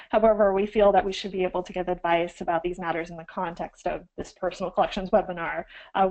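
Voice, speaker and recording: A woman's voice: medium pitch, a little mellow, rough recording. A woman archivist getting over a cold, slightly froggy, giving an instructional webinar with frequent ums and self-corrections.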